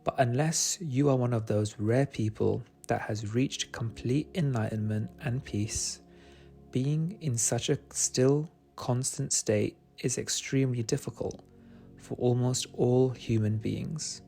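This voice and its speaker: Slow, mellow male meditation guide. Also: medium pitch, gravelly. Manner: soothing, gentle, unhurried. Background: soft meditation music.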